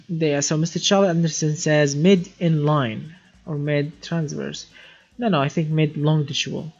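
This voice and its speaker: A man's voice: on the high side, darkish tone, dull audio. A young man from Syria hosting a casual live stream, chatty, reading viewer comments aloud and often repeating words as he restarts sentences. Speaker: livestream gaming host.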